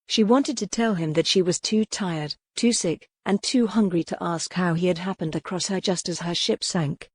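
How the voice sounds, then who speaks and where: Woman reading a romance audiobook, slightly low voice, really dry close recording. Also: moderate pace, few pauses, slightly rough, no room sound. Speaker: audiobook narrator. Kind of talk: scripted narration of historical romance novel.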